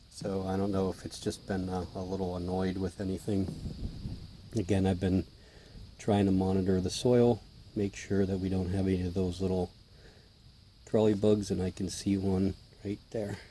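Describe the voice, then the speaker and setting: A man with a hoarse voice, clearing his throat as he narrates a casual hobby vlog while feeding his tarantulas indoors, chatty and offhand, sometimes dropping to a hush. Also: sits low, little pitch movement, normal speed, a little hiss or hum. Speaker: tarantula keeper filming feedings.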